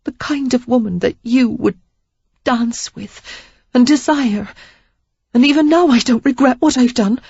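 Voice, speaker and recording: A high-pitched, anxious young woman, put on by a female narrator, babbling and pleading on the edge of tears. Her voice is breathy and slightly husky. The recording is dry and a little dull. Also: unhurried, dark tone, no echo. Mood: defiant.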